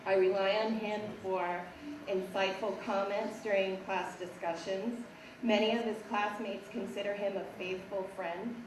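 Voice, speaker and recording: A woman teacher with a raspy voice, reading prepared praise for students at a school assembly. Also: mid-range voice. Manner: measured, reads prepared praise. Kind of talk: award speech at a school assembly. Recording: echoey hall, distant mic, background noise.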